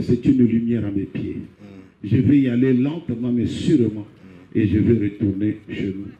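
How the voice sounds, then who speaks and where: A middle-aged Congolese pastor preaching a funeral sermon, telling a parable and lifting his pitch on key phrases. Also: warm tone, echoey room, dull audio. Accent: Congolese French.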